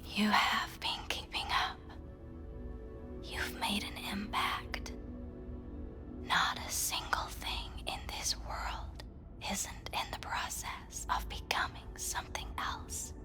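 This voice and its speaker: An actress playing a calm, enigmatic woman counsellor in a video game, speaking in a breathy, soothing, measured way. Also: medium pitch, lightly acted. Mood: hushed, intense. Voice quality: breathy, whispery. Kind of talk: cinematic video game acting. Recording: music playing behind the voice. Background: music.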